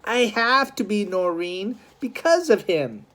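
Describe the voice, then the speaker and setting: A woman's voice: medium pitch, big pitch swings, slow, fairly bright tone, voice pushed up. A woman as a young gang boy, hot-headed, stubborn, protesting, storybook character. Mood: defiant, protesting.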